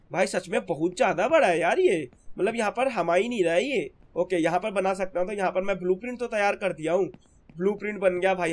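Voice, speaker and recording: Hoarse high-voiced young man gaming. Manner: chatty, keeps calling viewers brother. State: hoarse from a sore throat. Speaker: gaming channel host. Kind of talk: casual gameplay commentary.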